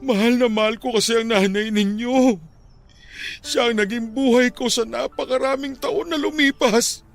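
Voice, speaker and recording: A man performs a heartbroken father mourning his wife, in a tearful radio-drama voice that cracks with grief. The voice sits high and grainy, with faint music running under it. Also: fairly even intonation, normal speed, gravelly. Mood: anguished. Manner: tearful, pleading, voice breaking with grief. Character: grieving widowed father, middle-aged, heartbroken and tender.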